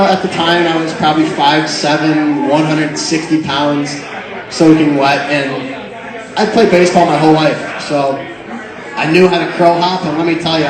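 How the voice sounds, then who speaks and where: A young man telling a comic story to a live crowd through a microphone, animated and laughing often as he goes. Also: a little high, moderate pace. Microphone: microphone into a speaker system. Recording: very echoey room with crowd noise.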